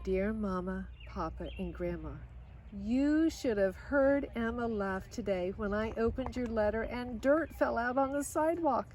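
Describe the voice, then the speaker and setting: A woman reading a children's picture book aloud in an expressive way, now and then speaking directly to her listeners. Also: on the high side, slight background noise, poor audio. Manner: expressive, pausing for short asides to listeners. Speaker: storybook reader.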